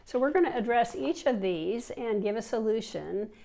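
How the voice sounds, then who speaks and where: Woman in her 50s, teacher with a cold, chatty and fairly high, lapel mic. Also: animated, moderate pace, gravelly, warm tone. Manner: chatty, with fillers and restarts. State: has a cold. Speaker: language teacher. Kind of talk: online teacher workshop. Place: indoors, seated in an office chair before a plain wall.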